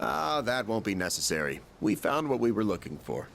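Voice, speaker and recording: A man's voice: fairly low pitch, big pitch swings, normal speed, vocal fry, fairly bright tone, a little hiss or hum, heavily acted. An actor playing a polite, genial chairman who explains things in a video game. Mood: relieved. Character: polite adult male chairman of a secret research group.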